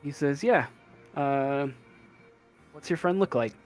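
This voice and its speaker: A man narrating a tabletop game casually as game master, improvising in a hesitant, halting way. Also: fairly high pitch, lively intonation, a little mellow, soft background music.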